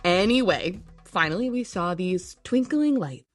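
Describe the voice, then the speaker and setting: Woman as a lowish-voiced, practical young adventurer. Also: lively intonation, a little slow, no echo, soft background music. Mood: matter-of-fact. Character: practical, assertive young woman on a space road trip. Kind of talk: science fiction audio comedy drama.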